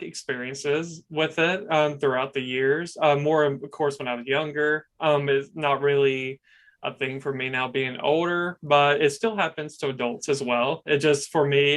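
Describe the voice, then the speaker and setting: A young man hosting a casual podcast interview. He talks in a monotone and rambles, with many fillers. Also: on the high side. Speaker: podcast host.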